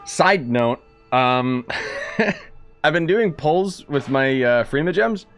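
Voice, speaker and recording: A man's voice: a little high, expressive, takes it slowly. A young man giving casual, sarcastic, slangy commentary as a gaming streamer. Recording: game music behind the voice. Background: game music.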